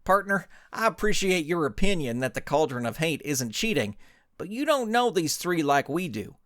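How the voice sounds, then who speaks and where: Male narrator as cowboy superhero, folksy drawl, tough, lively pitch, dry recording. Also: moderate pace, no room sound. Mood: condescending, resolute.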